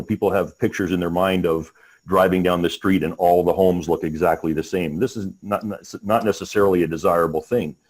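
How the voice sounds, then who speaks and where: A man presenting on a business webinar, talking in a halting way with many restarts and fillers. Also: low voice, darkish tone, husky. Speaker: panel speaker.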